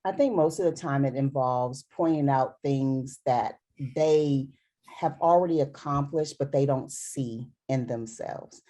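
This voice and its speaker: A middle-aged woman with a low, mellow voice speaks in an earnest conversational way. She drives her points home with repetition and emphasis, like a physician answering questions after a talk. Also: unhurried. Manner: earnest, emphatic, repeats for stress. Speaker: dermatologist speaker.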